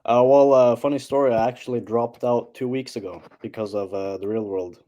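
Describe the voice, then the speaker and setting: A man's voice: mid-range voice, steady tone, fairly quick, warm tone, muffled recording. A teenage boy from Norway, chatting as an interview guest and hesitating with many fillers. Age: teens. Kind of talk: casual interview chat.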